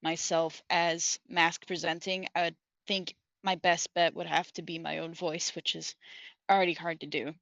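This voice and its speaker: Hesitant caller on a dull line. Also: male voice, fairly high pitch, level tone, normal speed, gravelly, pushed and bright, no echo. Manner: hesitant, searching for words. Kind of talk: casual call-in chat.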